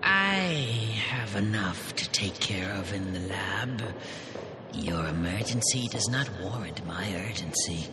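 An actor playing a curt, weary and dryly defiant lab boss in a science fiction audio drama. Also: male voice, lowish voice, lively intonation, slow, heavy background noise. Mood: cold, defiant.

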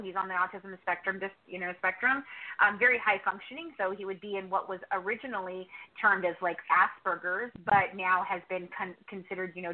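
A woman giving long, explanatory answers as a podcast co-host being interviewed, talkative and conversational. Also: medium pitch. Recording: narrow tinny phone line.

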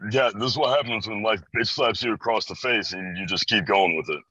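A young American man in his early twenties, from Chicago, talks casually as a guest. His voice is on the low side but bright and forceful. The recording is close and dry, a bit dull and lo-fi. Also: fairly quick, breathy edge, dry recording. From Chicago, USA. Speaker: interview guest. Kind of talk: casual chat. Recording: dry, slightly dull band-limited audio.

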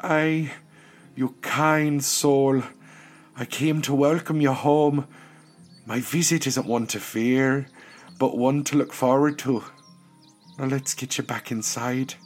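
A man voicing a kindly, solemn goddess of death, soothing and measured, in a storybook tale. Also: medium pitch, steady tone, soft background music, voice pushed up, natural voice. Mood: welcoming. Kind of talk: storybook character acting.

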